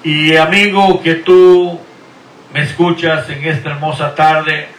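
A middle-aged man, a pastor, preaching and sending drawn-out, earnest blessings and greetings over the radio. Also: on the high side, little pitch movement, a bit bright. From Guatemala. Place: Houston, Texas. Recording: echoey room, voice from far off the mic.

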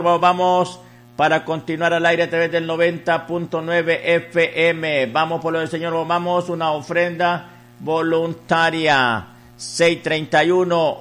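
Higher-voiced man making a repetitive radio appeal. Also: level tone, a little slow, a bit bright. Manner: repetitive, chanting urging, reciting phone numbers. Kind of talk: live radio fundraising appeal.

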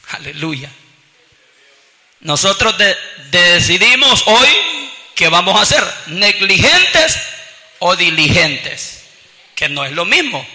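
High, bright-voiced Salvadoran preacher. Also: male voice, in a large hall, low-quality recording. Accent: Salvadoran Spanish. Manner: emphatic, peppers speech with "gloria al Señor" and "aleluya". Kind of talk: evangelical sermon.

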